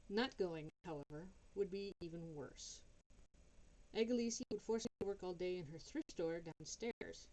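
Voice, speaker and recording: A woman reading a first-person story aloud in an expressive, dramatic narrating style. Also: lowish voice, moderate pace, poor audio.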